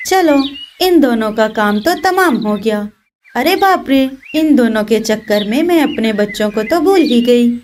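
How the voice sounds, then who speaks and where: Woman voicing a high-pitched clever mother bird. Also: animated, unhurried, a little mellow, slight background noise. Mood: worried.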